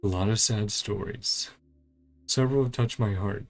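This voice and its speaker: A man with a low voice and a gravelly, fry-heavy edge hosts a casual game playthrough. He talks in short, clipped fragments, murmuring reactions as he goes. Faint music plays behind him. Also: moderate pace. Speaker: gaming channel host. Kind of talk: casual game playthrough commentary, reading dialogue along.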